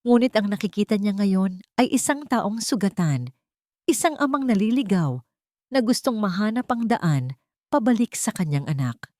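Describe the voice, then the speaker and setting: A woman reading a dramatic love story as a narrator, in emotive, measured phrases. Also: mid-range voice, animated, darkish tone, no echo, studio quality.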